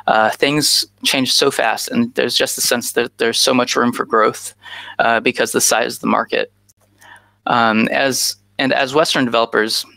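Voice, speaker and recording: A man's voice: medium pitch, creaky, pushed and bright, no room sound. A man in his thirties from the United States gives a conference talk over a video call on a headset, with frequent "uh" fillers. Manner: frequent "uh" fillers, earnest and informal. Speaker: guest speaker.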